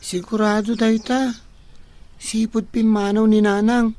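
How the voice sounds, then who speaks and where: A woman's voice: fairly high pitch, level tone, a little slow, mellow, natural voice. A woman playing a worried, responsible young boy, the older brother, in a radio drama. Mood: earnest.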